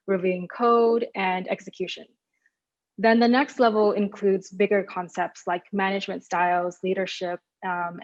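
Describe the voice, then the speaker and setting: A woman's voice: medium pitch, muffled recording. A woman moderating a webinar panel, upbeat and enthusiastic as she introduces the topic and hands questions to panelists.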